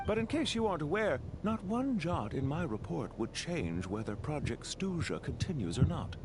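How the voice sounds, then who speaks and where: Haughty, animated financier. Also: male voice, fairly high pitch, moderate pace, background noise. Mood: scornful. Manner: condescending, smooth-tongued debater. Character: haughty, sardonic male financier and official. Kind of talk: video game voice acting. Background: prominent background music.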